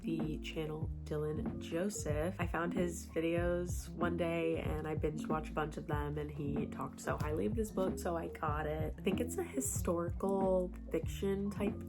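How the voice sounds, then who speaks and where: Chatty woman with a cold, low voice. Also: steady tone, unhurried, hardly any pauses. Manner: chatty, filler-heavy, giggly asides. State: slightly congested from a cold. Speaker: book vlogger. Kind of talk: casual chat. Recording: background music under the voice.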